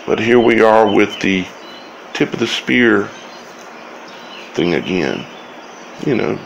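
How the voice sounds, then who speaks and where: A man giving informal scripture commentary as a video host, speaking in halting, broken phrases with long pauses. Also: mid-range voice, creaky, muffled recording, slight background noise.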